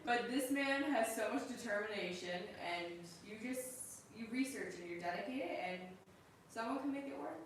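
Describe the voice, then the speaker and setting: A woman's voice: animated, normal speed, recorded from a distance. A woman co-hosting a travel livestream, chatting casually with short supportive remarks. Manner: brief, supportive interjections. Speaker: co-host of a travel channel. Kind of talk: casual live chat. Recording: echoey room, off-mic, faint rumble.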